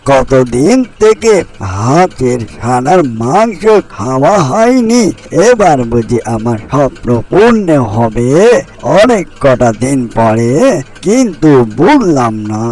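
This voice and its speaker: A man's voice: mid-range voice, a little mellow. A man voicing a greedy, sly, hungry fox who schemes aloud in a fairy-tale cartoon.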